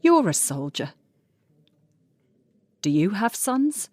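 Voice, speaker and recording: A woman's voice: medium pitch, sing-song, slow pace, a little mellow, dry recording. An actress playing a guarded, stubborn French woman singer in a wartime audio drama, terse and defiant.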